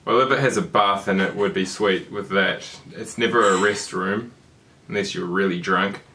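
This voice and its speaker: A man with a New Zealand accent and a low, bright, forceful voice. He answers in a casual chat with short, dry jokes, heard from a little way off the mic. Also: moderate pace, far from the mic. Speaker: guest.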